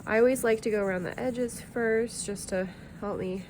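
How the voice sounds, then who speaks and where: A woman's voice: fairly high pitch, moderate pace, a little mellow, slight background noise. A woman art teacher talking through a drawing tutorial in a friendly, chatty way, a bit sniffly from allergies, with plenty of ums.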